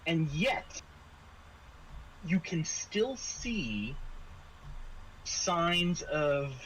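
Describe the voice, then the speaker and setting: A man narrating a tabletop role-playing game as game master, halting and searching for words. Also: fairly high pitch, sing-song, fairly bright tone, slight background noise, rough recording.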